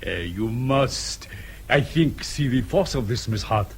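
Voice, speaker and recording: An actor playing a courteous, deliberate police colonel in a radio drama, gently sardonic and measured with hesitations. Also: male voice, medium pitch, animated, slight background noise, lightly acted. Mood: reasoning, insistent.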